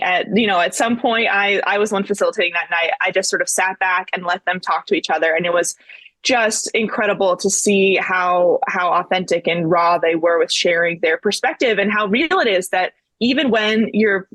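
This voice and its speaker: Earnest young woman, lowish voice. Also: fairly bright tone, no room sound. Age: 20s. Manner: reflective, earnest storytelling. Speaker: guest, book co-editor. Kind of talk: conversational interview.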